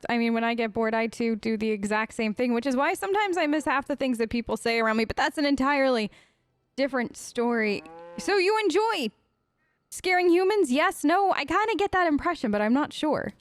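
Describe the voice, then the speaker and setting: High, animated woman, chatty and expressive. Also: fairly quick, dry recording. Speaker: let's play host. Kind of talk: improvised in-character role-play over a game.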